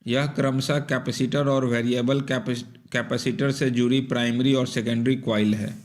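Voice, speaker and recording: A man narrates a technical repair tutorial from a script. His voice sits at a medium pitch, and he explains each part methodically, one step after another. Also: level tone, normal speed, a little mellow.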